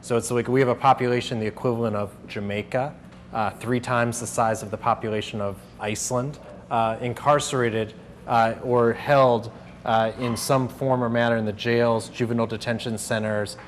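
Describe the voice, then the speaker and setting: A man hosting a workshop, explaining to a group in a halting, conversational way as he moderates. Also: mid-range voice, little pitch movement. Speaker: workshop host and panel moderator. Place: room at a workshop. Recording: noisy room recording.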